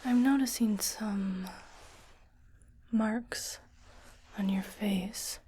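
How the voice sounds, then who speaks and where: A woman playing a calm, reassuring ship's doctor in a science fiction ASMR roleplay, speaking in a breathy whisper with a measured, clinical manner. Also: medium pitch, a little hiss or hum. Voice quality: breathy, mostly unvoiced whisper. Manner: slow, measured, clinical and soothing.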